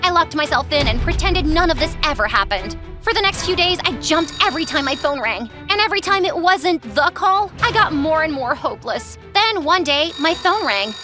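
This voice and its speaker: A woman's voice: sits high, sing-song, normal speed, hardly any pauses. A young woman narrating her own cartoon story in a chatty, playful, sarcastic way. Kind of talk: scripted first-person cartoon narration. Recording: narration over music.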